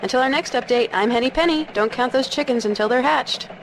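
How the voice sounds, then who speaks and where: High-voiced hen newsreader. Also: female voice, fast, soft background music, rough recording. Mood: composed. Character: composed hen TV news anchor, mock-serious. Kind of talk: parody newscast delivery. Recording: noticeable background noise.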